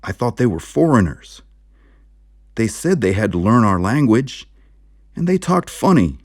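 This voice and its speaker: A man voicing a gruff, bullheaded American small-town sheriff in an audiobook, blustering and yelling. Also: on the low side, big pitch swings, a little slow, no room sound, clean studio sound, pitched above the performer's own voice, exaggerated voice. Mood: defensive. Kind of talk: audiobook character voice.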